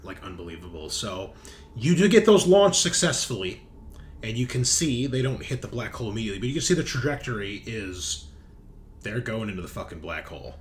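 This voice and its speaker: Man, game master, animated intonation, casual narration, sweary bantering, faint background noise. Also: fairly low pitch, fairly quick.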